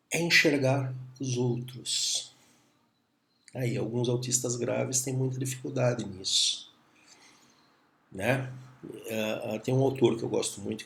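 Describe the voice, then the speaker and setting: A Brazilian man with a Brazilian Portuguese accent talks through a reflective lesson to camera. His voice has a gravelly edge and sits at an ordinary pitch for a man. He speaks calmly, pausing to muse and checking in with short tag questions. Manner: calm, musing, with tag questions and pauses. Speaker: teacher. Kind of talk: reflective video lecture.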